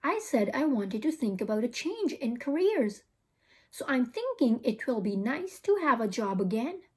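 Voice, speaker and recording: A woman's voice: a little high, big pitch swings, normal speed, breathy edge. A woman reading the part of a practical, firm mother in a storybook, explaining herself.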